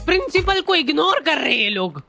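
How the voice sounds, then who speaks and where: A woman's voice: high voice, very animated, a little fast, creaky, sharp tone. A woman narrating a comic cartoon story in casual slang, with exaggerated mock exasperation. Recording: music behind the voice. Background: faint music.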